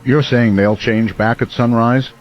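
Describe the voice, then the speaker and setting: A man's voice: mid-range voice, fairly even intonation, a little slow, lo-fi sound, faint music behind, natural voice. A man playing an earnest, concerned small-town radio host who stays steadfast on air in a disaster drama.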